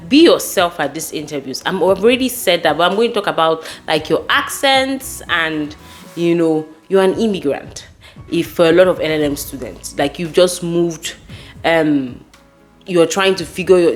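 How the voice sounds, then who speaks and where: A woman's voice: fairly low pitch, expressive, normal speed, soft background music. A woman from Nigeria with a Nigerian accent gives casual advice to viewers as a host, speaking emphatically and repeating key words. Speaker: host giving career advice.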